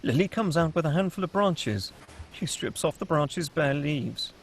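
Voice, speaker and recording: A man narrates a script at a table read, reading stage directions. His voice sits a little high for a man, with a husky, unclear edge. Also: lively intonation, normal speed.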